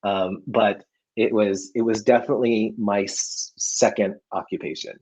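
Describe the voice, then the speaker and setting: A man's voice: on the low side, fairly even intonation, unhurried, a little mellow, slightly rough, low-quality recording. A man raised in the United States, answering interview questions in a hesitant way with many restarts and fillers. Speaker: guest filmmaker.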